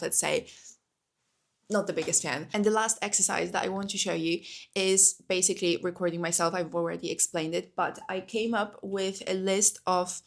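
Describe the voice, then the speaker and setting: A woman's voice: mid-range voice, creaky. A young woman whose first language is Polish, vlogging casually to camera from home, chatty, with many fillers and restarts. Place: indoors at home.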